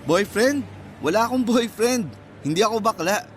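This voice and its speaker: A man's voice: sits high, lively intonation, normal speed, a little hiss or hum. A man playing a modest, obliging young mechanic in a Tagalog radio drama, polite and easygoing in manner. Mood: casual, bashful.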